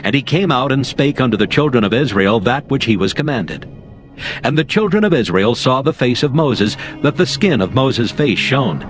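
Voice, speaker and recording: A man narrates scripture in a steady, formal way, telling events plainly and evenly. His voice sits at an ordinary middle pitch, and faint music plays beneath it. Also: moderate pace. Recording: soft music underneath.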